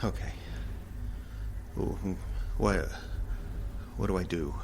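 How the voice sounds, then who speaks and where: A rattled, distrustful ex-teacher in an audio drama, acted by a man, with a low-pitched voice. He argues haltingly and with a sarcastic edge. Clear ambient noise sits behind him. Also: darkish tone. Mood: uneasy, hesitant. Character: wary, rattled male ex-teacher and repeated abductee.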